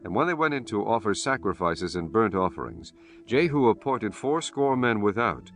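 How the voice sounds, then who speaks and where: A man giving a solemn, measured, formal narration of scripture as an audiobook narrator. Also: on the low side, very animated, fairly bright tone, soft background music.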